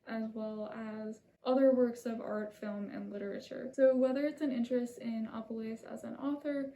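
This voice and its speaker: A woman's voice: on the high side, fairly even intonation, moderate pace, creaky, a little hiss or hum. A woman hosting her own channel, reading a scripted video essay in an earnest, apologetic way. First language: not Italian. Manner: soft-spoken, apologetic, earnest. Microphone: camera mic, seated holding a script.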